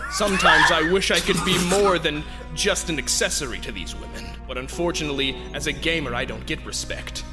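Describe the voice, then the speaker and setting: A performer voicing a boastful, goofy male hedgehog villain in a comedic fan dub, heard over loud music. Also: mid-range voice, brisk, few pauses, natural voice. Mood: smug. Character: smug male hedgehog villain, self-declared gamer.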